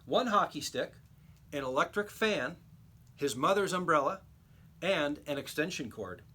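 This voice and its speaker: A man reading a children's storybook aloud like a teacher, with expressive, emphatic storytelling. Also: a little high, unhurried, breathy edge.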